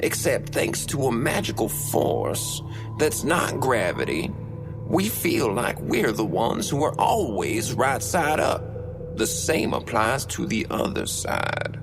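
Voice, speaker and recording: An ancient, eccentric cult elder for a comedic audio story. The voice is creaky and breathy, with slow conspiratorial phrasing that swings widely in pitch. Music plays underneath. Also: male voice, huge pitch swings, full character voice. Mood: calm explaining. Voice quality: breathy, creaky old-man voice. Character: extremely old eccentric male cult leader in hiding.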